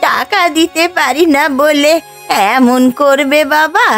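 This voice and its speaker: A woman voicing a poor old village woman in a cartoon, wheedling and pleading in drawn-out appeals. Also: high-pitched, fairly bright tone, faint music behind, heavily acted. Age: older. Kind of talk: cartoon voice acting.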